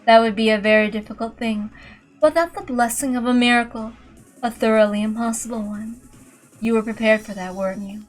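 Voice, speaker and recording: A woman voicing a cruelly composed, imperious witch in a measured, condescending way for a visual novel reading. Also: on the high side, fairly even intonation, faint music behind, close to an everyday voice, low-quality recording. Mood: cold, condescending.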